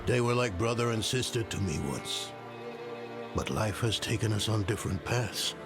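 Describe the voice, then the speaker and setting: An actor playing a world-weary older nobleman and former demon slayer in a fantasy game, grave and measured. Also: fairly low pitch, animated, music in the background. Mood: wistful. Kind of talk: dramatic fantasy game acting.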